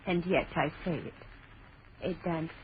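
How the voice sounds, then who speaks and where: An actress playing a mysterious, weary old French woman, speaking in solemn riddles with a French accent in a radio horror drama. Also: low voice. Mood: mournful, cryptic. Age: older. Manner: slow, cryptic, solemn pronouncements. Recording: muffled, hissy vintage radio broadcast.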